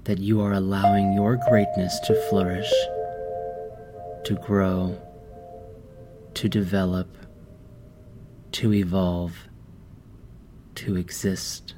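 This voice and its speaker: A man hosting a guided meditation, speaking in calm, measured short phrases with pauses between instructions. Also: deep voice. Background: music playing behind the voice.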